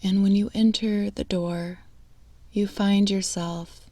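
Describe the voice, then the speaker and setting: A woman leading a guided meditation in a hushed, breathy, unhurried delivery, leaving long pauses between soothing phrases. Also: medium pitch, fairly even intonation, no echo.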